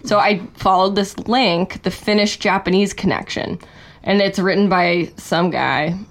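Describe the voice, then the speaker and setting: A woman's voice: mid-range voice, steady tone, measured pace. A woman co-hosting a casual chat, reading passages aloud and then brushing them off with a dismissive aside. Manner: reads aloud then shrugs it off with a dismissive "whatever". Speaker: podcast co-host.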